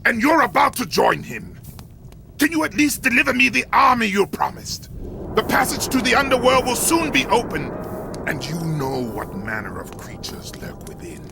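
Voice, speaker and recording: An actor playing a cruel, taunting warlord commander with a gravelly voice in a video game cutscene. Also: male voice, extremely high pitch, huge pitch swings, normal speed, over music, heavily acted. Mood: menacing. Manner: threatening, commanding.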